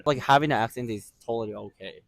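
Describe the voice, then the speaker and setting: A man with a Japanese accent, a native Japanese speaker, running a casual street interview. He fills the pauses with quick "mm-hmm" and "okay" sounds. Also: medium pitch, creaky, slight background noise.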